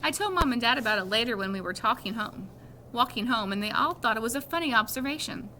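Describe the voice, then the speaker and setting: Female reader, bright and slightly high, for children's fiction read aloud; faint noise behind. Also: lively intonation, quick pace.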